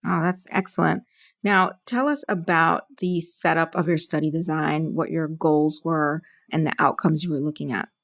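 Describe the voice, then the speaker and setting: Female medical podcast host, lower register, putting prepared interview questions. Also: fairly even intonation, normal speed, creaky. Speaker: neurologist.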